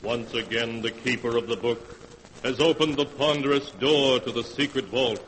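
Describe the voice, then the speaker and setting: A man announcing and narrating a 1940s radio horror show in a dramatic, drawn-out, ominous delivery. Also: mid-range voice, fairly bright tone, a little hiss or hum, poor audio.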